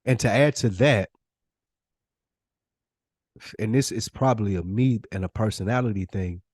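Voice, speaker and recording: American guy on a podcast, lowish voice, reflective and candid, really dry sound. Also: darkish tone, no echo. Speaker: podcast co-host. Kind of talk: candid casual conversation.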